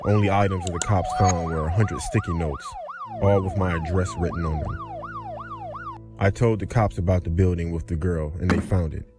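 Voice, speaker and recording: A man's voice: low voice, huge pitch swings, moderate pace. A man narrating a scripted horror story.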